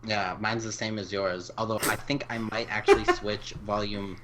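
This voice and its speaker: A man's voice: lowish voice, fairly even intonation, normal speed, noisy background. A man chatting casually on a podcast, giving his opinion in an informal way.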